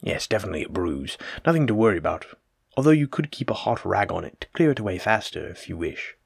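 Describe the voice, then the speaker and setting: A man's voice: fairly low pitch, big pitch swings, quick pace, gravelly, slightly rough, no echo, clean studio sound, exaggerated voice. A man playing a courteous, evasive, self-important plague doctor in an audio roleplay, speaking formally and fussily in old-fashioned wording. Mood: clinical, courteous. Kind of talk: hobby audio roleplay acting, addressed to the listener.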